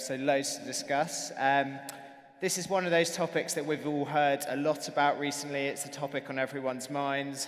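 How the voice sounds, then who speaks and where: A man's voice: medium pitch, a bit bright. Upbeat male panel moderator. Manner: brisk, friendly, upbeat. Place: large conference hall stage. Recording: long hall reverb.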